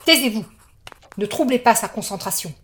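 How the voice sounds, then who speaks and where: An actress playing a cold, haughty sorceress with an amused, faintly scornful way of talking. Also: female voice, mid-range voice, lively intonation, normal speed, lightly acted. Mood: cool. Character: cold, mocking female sorceress.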